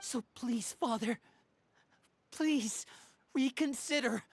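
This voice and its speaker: Earnest, higher-voiced pleading youth. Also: female voice, slow, slightly rough, full character voice. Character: earnest, pleading youth, devoted to adopted family. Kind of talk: video game voice acting.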